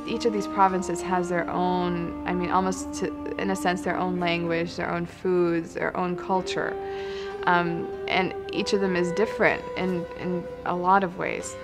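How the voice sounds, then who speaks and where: A woman's voice: on the low side, little pitch movement, measured pace. A woman being interviewed for a documentary, explaining things in a conversational, informal way. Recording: music playing behind the voice. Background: background music.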